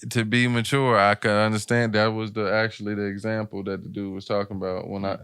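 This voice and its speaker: A man's voice: on the low side, level tone, brisk, a bit bright, clean tone, no echo, clean studio sound. A man co-hosting a podcast in casual banter, with a joking, blunt, slangy way of talking. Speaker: podcast co-host.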